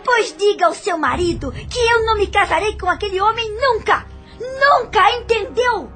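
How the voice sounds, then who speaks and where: A woman plays a young Brazilian niece in an audio drama, her voice extremely high. She is theatrical and indignant, protesting at the fate arranged for her, with soft music behind her. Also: lively intonation, moderate pace, heavily acted. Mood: outraged. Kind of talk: audio novel acting.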